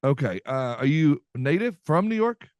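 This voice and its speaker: A man's voice: on the high side, big pitch swings, moderate pace, darkish tone, dry recording. A man hosting a podcast, chatting casually in an upbeat, folksy way with lots of fillers. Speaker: podcast host. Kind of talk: casual podcast chat with ad reads.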